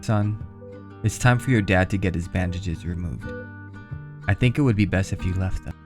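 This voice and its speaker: Man playing a deep-voiced hospital doctor. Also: normal speed, lightly acted. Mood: calm, firm. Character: calm, authoritative hospital doctor. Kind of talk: audio drama acting. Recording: guitar music under the voice.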